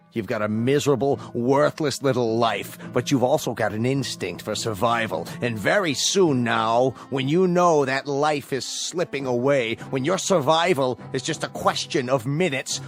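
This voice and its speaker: An actor playing a cruel totalitarian chancellor in a radio drama, formal, condescending and mockingly polite. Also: male voice, medium pitch. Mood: menacing. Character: coldly cruel, smug totalitarian state chancellor, a man.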